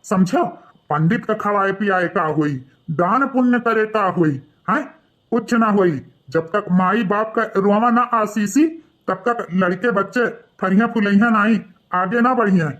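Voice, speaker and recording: Man voicing a preachy Awadhi village priest, bright voice. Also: high-pitched, measured pace, lo-fi sound. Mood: stern scolding. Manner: preachy, admonishing. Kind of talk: melodramatic moral cartoon voice acting.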